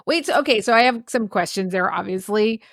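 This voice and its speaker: A woman's voice: medium pitch, a little fast, creaky, dry recording, clean studio sound. A woman hosting a podcast, asking questions with short affirmations and frequent laughs. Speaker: podcast host. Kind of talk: casual interview chat.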